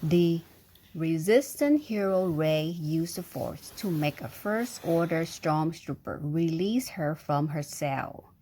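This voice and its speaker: A woman's voice: low-pitched, lively intonation, slow, mellow, slightly rough. A woman reading a storybook aloud to a young child, breaking off for chatty, engaging asides and questions. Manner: engaging, prompting with questions and sound effects.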